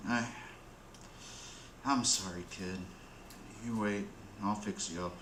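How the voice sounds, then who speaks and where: A man playing a world-weary, soft-hearted drug addict in a radio play, talking in halting slang. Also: on the low side. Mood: gentle. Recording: roomy, faint background noise.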